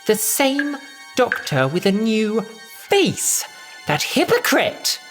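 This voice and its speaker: A man's voice: high voice, huge pitch swings, slow, a bit bright, faint music behind, pitched above the performer's own voice, full character voice. A man voicing a vain, vengeful aristocratic woman in a snooty, theatrical way for an audio story. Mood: furious, snarling. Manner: snarling, huffy, theatrically posh. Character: haughty, vain, vengeful aristocratic villainess in a stolen body.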